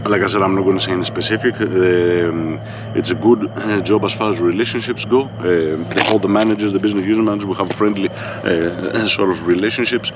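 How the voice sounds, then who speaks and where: A low-voiced man with a Greek accent talks casually in a fairly monotone delivery, swearing freely and filling gaps with a habitual filler question. The recording sounds dull and lo-fi, with a faint hum of urban outdoor noise behind him. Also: a little fast. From Greece. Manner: laid-back, swears freely, keeps saying "you know what I mean". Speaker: vlogger. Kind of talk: casual monologue.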